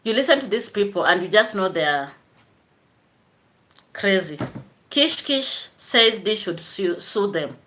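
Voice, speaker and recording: Animated woman live streaming. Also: mid-range voice, unhurried, long pauses. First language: Swahili. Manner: animated, greets viewers by name, frequent laughs. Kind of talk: casual live stream chat.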